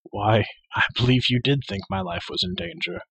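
A man voicing a calm, wise warrior lord who speaks in a measured, grave and gentle way. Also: on the high side, vocal fry, husky, no echo, highs cut off, voice pushed up, heavily acted. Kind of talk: audiobook character voice.

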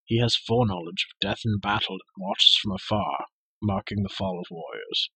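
A man's voice: fairly low pitch, very animated, gravelly, slightly rough, no echo, exaggerated voice, rough recording. Man reading as a grave, wise warrior lord. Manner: measured, grave and gentle. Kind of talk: audiobook character voice.

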